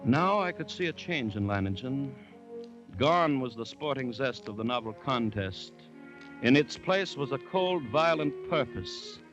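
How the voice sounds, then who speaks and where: An actor playing an anxious, grave commissioner who recounts a jungle siege in a dramatic 1940s radio style. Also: male voice, mid-range voice, expressive, lightly acted, low-quality recording. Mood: grave, suspenseful. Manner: dramatic, measured storytelling with tense dialogue. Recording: vintage 1940s radio recording, loud music.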